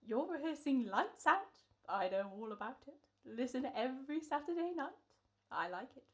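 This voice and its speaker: A woman's voice: high-pitched, lively intonation, moderate pace, fairly bright tone. A woman playing a polite, rambling old coffin salesman in a radio comedy-horror play. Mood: genial. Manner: rambling, courteous, folksy patter.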